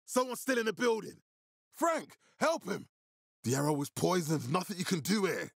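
A commanding militia boss for a video game, with a gravelly edge and a pitch that swings widely. He swears hard and gives blunt, urgent orders. The sound is dry and close. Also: very animated, normal speed, no room sound, heavily acted. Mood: urgent, insistent, then furious, shouting orders. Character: commanding, hard-swearing male militia leader in a zombie apocalypse. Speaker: voice actor. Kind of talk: video game dramatic acting.

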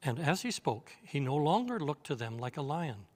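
Older male reader, slightly raised pitch, calm storyteller, reverb tail. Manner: measured, steady storytelling. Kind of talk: reading a children's novel aloud. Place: studio set with armchair and lamp.

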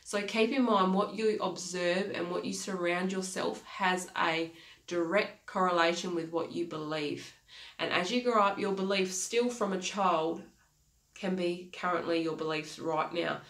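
A woman's voice: lowish voice, fairly even intonation, unhurried, fairly bright tone. A woman in her thirties with a congested, nasal voice, giving an earnest motivational talk as a life coach. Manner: earnest, repeats key phrases, gestures with hands. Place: small home office, indoors. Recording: echoey room.

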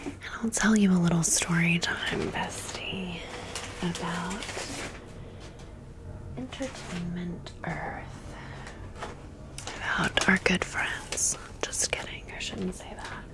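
A woman whispers in a breathy, unvoiced ASMR style at an unhurried pace. She chats casually and slangily, gushing over details and repeating short phrases for effect. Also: lowish voice, low-quality recording. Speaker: ASMR creator presenting a doll haul.

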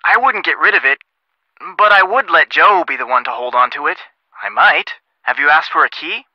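An actor playing an easygoing, sensible young American detective in a video game, talking in a wry, conversational way. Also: male voice, fairly high pitch, expressive, moderate pace, no room sound, lo-fi sound. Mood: thoughtful. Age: young adult. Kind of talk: video game phone dialogue.

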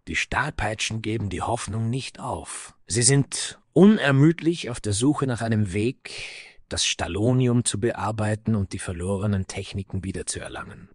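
An actor playing a somber, proud dwarf smith in a fantasy audio drama, grave and dramatic with breathy, hushed phrases and a husky voice. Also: male voice, fairly low pitch, moderate pace, no echo, clean studio sound, lightly acted. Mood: proud, wistful.

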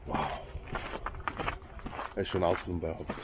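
A deep, low-voiced man with a Swiss accent talks casually to his viewers, joking as he walks. He is outdoors by a lake, with clear outdoor noise behind him. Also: fairly even intonation, unhurried, highs cut off. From Switzerland. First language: Swiss German. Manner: chatty, joking, addresses viewers directly. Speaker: vlogger. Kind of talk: casual vlog commentary to viewers.

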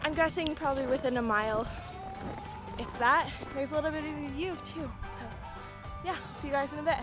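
A young woman in her twenties, a bit hoarse from losing her voice, chatting casually to a handheld camera on a snowy mountain trail. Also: sits high, a little fast, over music. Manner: chatty, frequent "like" and "super". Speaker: hiking vlogger. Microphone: handheld action camera at arm's length.

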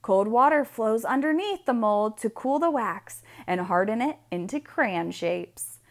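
A woman reading a picture book aloud for children, expressively, with deliberate, drawn-out emphasis on key words. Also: fairly high pitch, animated. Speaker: read-aloud storyteller.

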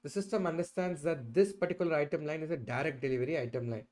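A middle-aged man with a slightly high voice teaches a software tutorial. He explains step by step and often checks that the listener follows with short tag questions. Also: quick pace. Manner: checks in often with "right?" and "okay". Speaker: instructor presenting a software tutorial. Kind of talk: explanatory screen-share tutorial. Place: indoors, at a computer.

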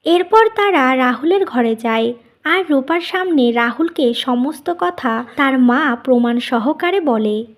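High-pitched woman narrating a cartoon story. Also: lively intonation, slow.

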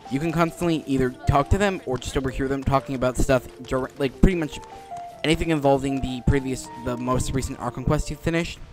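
Male gaming host: mid-range voice, casual, rambling, light music underneath. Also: mid-range voice. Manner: rambling, repeats himself. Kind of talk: casual gameplay commentary. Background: game music.

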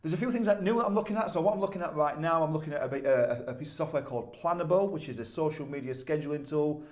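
A man's voice: fairly high pitch, rapid-fire. A man in his thirties with a Yorkshire accent, giving casual business advice straight to camera from a small office, emphatic and conversational, often restarting his words. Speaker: business coach. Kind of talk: casual talking-head advice. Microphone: camera at desk distance.

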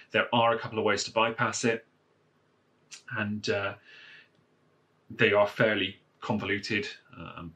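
A man's voice: deep voice, pushed and bright. A man casually walking viewers through a phone reset step by step, with lots of fillers and restarts, recorded indoors at a desk. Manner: rambling, many fillers and restarts. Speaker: phone repair tutorial presenter. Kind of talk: casual how-to walkthrough. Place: indoors at a wooden desk, filming a phone from above.

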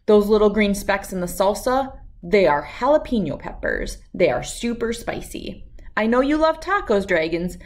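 A woman in her thirties reading a children's storybook aloud with expressive, emphatic delivery and dramatic pauses. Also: medium pitch. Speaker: read-aloud host.

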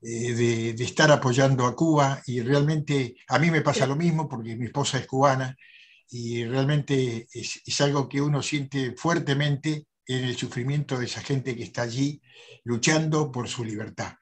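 A man's voice: mid-range voice, fairly even intonation, moderate pace. An older man hosting a conversational interview over a video call. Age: older, 60s or over. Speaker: interview host. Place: indoors at a desk, on a video call. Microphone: video call, close to the camera.

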